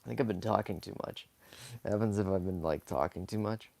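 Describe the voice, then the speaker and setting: Hoarse, gravelly young man chatting softly. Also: fairly low pitch, brisk. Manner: soft-spoken and rambling, many fillers and small laughs. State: hoarse, scratchy from talking too much. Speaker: live-streaming sketch artist. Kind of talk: casual commentary while drawing.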